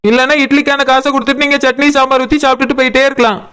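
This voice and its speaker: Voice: high-pitched, flat delivery, very fast, heavy background noise, close to an everyday voice. A performer voicing a cheeky, stubborn cartoon food-stall seller who hawks and bargains emphatically in a Kanyakumari Tamil accent. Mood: defiant. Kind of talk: comic cartoon dialogue.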